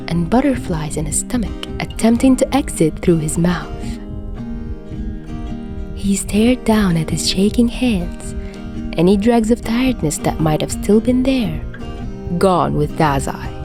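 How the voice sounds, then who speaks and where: A woman reads fiction aloud as a narrator. Her delivery is slow and expressive, at a medium pitch, with faint music underneath. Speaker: podfic narrator.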